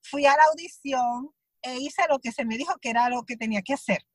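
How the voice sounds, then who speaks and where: A woman's voice: sits high, a little fast, no echo, studio quality. A woman actress from Puerto Rico with a Puerto Rican accent, telling anecdotes in an interview, acting out her own thoughts as she goes. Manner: animated storytelling, acts out her own thoughts in quotes. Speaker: actress, guest. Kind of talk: conversational interview.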